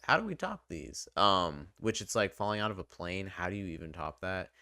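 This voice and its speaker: A man's voice: low-pitched, big pitch swings, normal speed, bright, forceful tone, no echo, clean studio sound. A man giving a casual, opinionated review in a rambling, conversational way, often hedging as he goes. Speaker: video reviewer.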